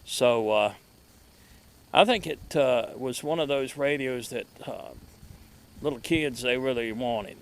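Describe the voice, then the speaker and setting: A middle-aged man presents a casual show-and-tell at a table outdoors. His voice sits at an ordinary male pitch. He talks in a halting, folksy way, addressing viewers directly through a close clip-on mic. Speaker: video host showing vintage gadgets. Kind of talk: casual product showcase vlog. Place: outdoors at a table in a wooded yard.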